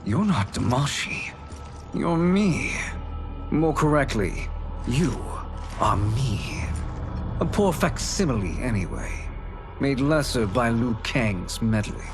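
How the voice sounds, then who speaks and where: Villain sorcerer for a video game: scheming, theatrical, mid-pitched, unhurried delivery. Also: male voice, very animated. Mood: mocking. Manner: smug, theatrical, deliberate. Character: scheming, gloating male sorcerer bent on conquest. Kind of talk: dramatic video game acting. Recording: loud cinematic music behind voice. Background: loud music.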